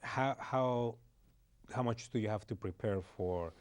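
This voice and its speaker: A man's voice: mid-range voice, measured pace. A man interviewing guests on a TV show, laughing often and restarting his questions. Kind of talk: casual interview.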